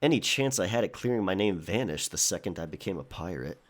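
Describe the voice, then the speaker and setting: A man playing a world-weary young pirate with a disgraced past in an audio drama, speaking in a halting, wry way. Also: sits low, lively intonation. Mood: resigned.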